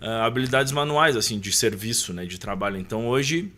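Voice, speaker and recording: A man's voice: mid-range voice, expressive, fairly quick, sharp tone. A man, reflectively telling personal recollections as a podcast guest. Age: 30s. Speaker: interview guest. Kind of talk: conversational podcast storytelling.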